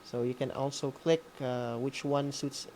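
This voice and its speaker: A man's voice: mid-range voice, little pitch movement, unhurried, darkish tone, a little hiss or hum, rough recording. A man with a Filipino accent giving an informal, hesitant instructional walkthrough, often checking in with a tag question. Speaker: instructor leading an online session.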